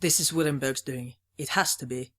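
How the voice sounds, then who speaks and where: Woman playing a grim, low vengeful commander. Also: normal speed, a bit bright, a little hiss or hum. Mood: cold, vengeful. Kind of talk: fan audio drama acting.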